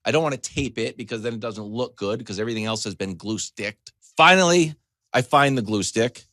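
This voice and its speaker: A man in his forties, a sports talk host, telling a comedic story in a casual, animated way with choppy asides and acted-out quotes. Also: mid-range voice, fairly quick, no echo, clean studio sound. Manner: animated, acts out quotes in dialogue.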